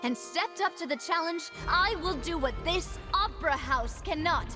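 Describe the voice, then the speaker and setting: A woman playing a determined young noblewoman in a game, arguing earnestly for justice over clear background music. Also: very high voice, lively intonation, normal speed. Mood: resolute. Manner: earnest, forceful courtroom argument. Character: determined young noblewoman seeking justice for her dead father. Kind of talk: dramatic game voice acting. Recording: loud music underneath.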